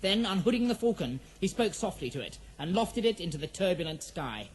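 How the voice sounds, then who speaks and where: A man's voice: high-pitched, big pitch swings, a little fast, husky, exaggerated voice. A man playing the storyteller of an epic fantasy audio drama, giving formal, dramatic scripted narration. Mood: dramatic, measured.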